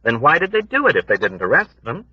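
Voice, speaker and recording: A man's voice: mid-range voice, wildly swooping pitch, fast, breathy edge, dry recording, pitched above the performer's own voice. A man doing a furious, demanding young soldier. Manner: sharp, demanding, raised voice. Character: young male soldier, bewildered, angry, insistent. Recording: dull lo-fi cassette audiobook.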